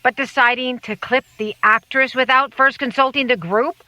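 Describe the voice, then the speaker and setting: Imperious society matron played by a woman, sharp-toned. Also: high voice, fairly even intonation, normal speed, no room sound. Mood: cold, disapproving. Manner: formal, authoritative. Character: imperious, disciplined lady leader of a secret society. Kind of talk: audio drama acting.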